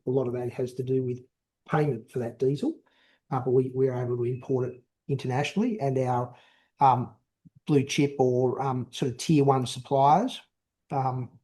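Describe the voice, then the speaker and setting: Mellow-voiced male executive on a call. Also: mid-range voice, poor audio. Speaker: company executive answering analyst questions. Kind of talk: investor call question and answer.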